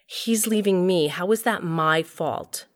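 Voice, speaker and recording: A woman's voice: on the low side, slow. An actress playing a wry, shaken middle-aged mother and scientist who narrates her own story confessionally in an audio drama. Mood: hurt. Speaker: narrator and lead character. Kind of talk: first-person audio drama narration and dialogue.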